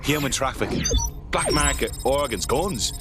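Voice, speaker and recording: An actor playing a blunt, swearing hacker operative. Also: male voice, medium pitch, expressive, normal speed, over music, natural voice. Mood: determined.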